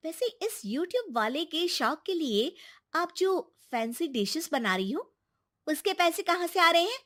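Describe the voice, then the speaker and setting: A woman's voice: very high voice, big pitch swings, a little slow, fairly bright tone, voice pushed up, full character voice. A woman voicing a suspicious, money-minded mother in a family story, scolding and accusing as she speaks. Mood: indignant.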